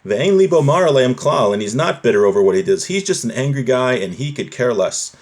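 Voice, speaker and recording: Male religious instructor, mid-pitched voice, didactic delivery, moving between reading a text and explaining it in plain rephrasings. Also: very animated, brisk, a little mellow. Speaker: religious teacher. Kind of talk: live class, reading a text and translating and explaining it.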